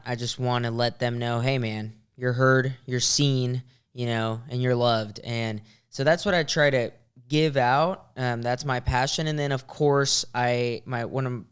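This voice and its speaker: A man's voice: medium pitch, clear, resonant tone. A man in his twenties from Venezuela, a podcast guest talking earnestly and reflectively about himself, with frequent ums. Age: 20s. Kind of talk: casual podcast conversation.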